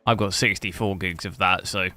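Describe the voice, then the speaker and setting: A man streaming a game, chatting casually with rambling, halting restarts. His voice is bright and forceful, set a little low. The sound is dry and close. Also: steady tone, no room sound. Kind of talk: casual live stream chat.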